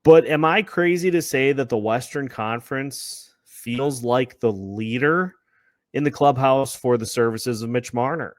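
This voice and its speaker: A man's voice: medium pitch, measured pace, no echo. Male sports podcast host, dry close sound. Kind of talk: conversational sports discussion with scripted-sounding intro.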